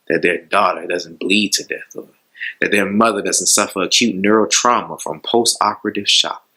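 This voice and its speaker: A male actor performs an arrogant surgeon in a dramatic monologue. His fairly low voice is hard and forceful, full of contempt and self-importance. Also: husky, full character voice, poor audio.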